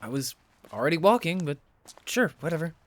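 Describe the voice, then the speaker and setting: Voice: mid-range voice, sing-song, brisk, fairly bright tone. An actor playing a sarcastic, fed-up young student in an audio drama, blunt and sweary. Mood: irritated, sarcastic.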